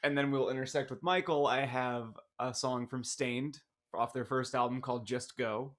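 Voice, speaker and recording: A man's voice: medium pitch, steady tone, moderate pace, a bit bright. Man in casual podcast banter. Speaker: podcast co-host. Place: small home studio room.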